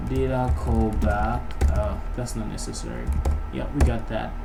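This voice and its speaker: A man giving a casual programming tutorial, thinking aloud in an informal, halting way as he works. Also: on the low side, big pitch swings, gravelly, over music. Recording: noisy mic with keyboard typing. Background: background noise, keyboard typing.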